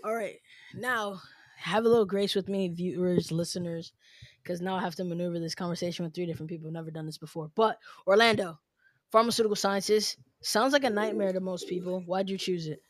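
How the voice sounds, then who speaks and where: A young adult hosting a casual podcast, upbeat and teasing, with quick asides. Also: medium pitch, no room sound, clean studio sound. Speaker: podcast host.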